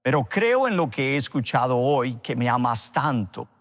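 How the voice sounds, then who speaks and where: A man delivering a sermon and leading prayer as a preacher, speaking earnestly in deliberate phrases. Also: medium pitch, big pitch swings, a bit bright. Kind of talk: sermon with an altar call and prayer. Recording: long hall reverb.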